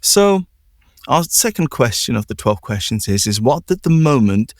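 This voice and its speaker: A man hosting a recovery podcast, asking gentle questions and restarting his phrases often. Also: medium pitch, big pitch swings, moderate pace, dry recording, clean studio sound. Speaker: podcast host. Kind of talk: empathetic interview.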